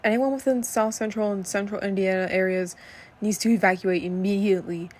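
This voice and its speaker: A woman plays a serious female radio reporter in a radio drama. Her voice sits at an average pitch for a woman. She delivers scripted news in a grave, matter-of-fact manner. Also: fairly even intonation, a little fast, darkish tone, lightly acted. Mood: urgent.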